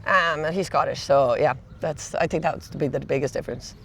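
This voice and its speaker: A woman's voice: low-pitched, brisk, slight background noise. A young Irish woman, a comedian, chatting casually in a rambling way, full of "like" and laughter. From Ireland. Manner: rambling, peppered with "like", laughing often. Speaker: comedian guest. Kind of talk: casual chat.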